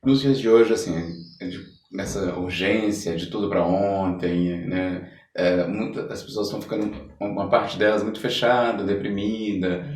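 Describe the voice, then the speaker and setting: A man's voice: fairly low pitch, steady tone, moderate pace, few pauses, darkish tone. A man with a Brazilian Portuguese accent hosting a podcast, interviewing in a conversational way. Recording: echoey room, distant mic.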